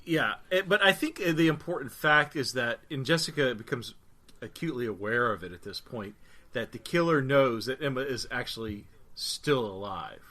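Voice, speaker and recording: A male podcast co-host who talks in a lively, bouncing way, teasing and quick to laugh. His voice is bright and swings widely up and down as he makes his points. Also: mid-range voice. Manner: animated, teasing, laughs often. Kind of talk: casual chat about a TV episode.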